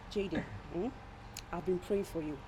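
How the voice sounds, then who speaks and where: An actress playing a pushy, fervently religious auntie in a film drama, with a Nigerian accent and an insistent, preachy manner. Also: female voice, fairly low pitch, unhurried, a crowd in the background, close to an everyday voice. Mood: earnest, preachy.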